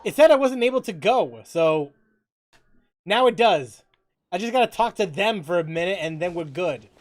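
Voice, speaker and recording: A streamer giving casual gameplay commentary, excitable and joking as they react to game dialogue. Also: mid-range voice, moderate pace. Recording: game music behind the voice.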